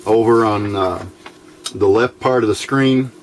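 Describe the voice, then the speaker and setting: A man giving an informal, unscripted hands-on tutorial as host, explaining step by step in a halting, plain-spoken way. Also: lowish voice. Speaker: host of a DIY electronics tutorial channel. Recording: clearly noisy home recording.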